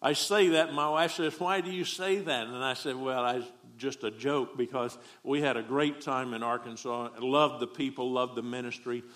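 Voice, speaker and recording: A man's voice: medium pitch, fairly bright tone. A middle-aged man from Texas with a Southern accent, preaching a folksy, joking sermon as a guest preacher.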